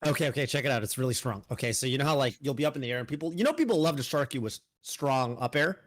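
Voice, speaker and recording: A man in his thirties co-hosting a podcast, chatting casually about a fighting game, animated, with frequent restarts. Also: mid-range voice, very fast. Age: 30s. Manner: animated, talks in restarts, mock outrage. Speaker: podcast co-host. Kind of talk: casual gaming chat.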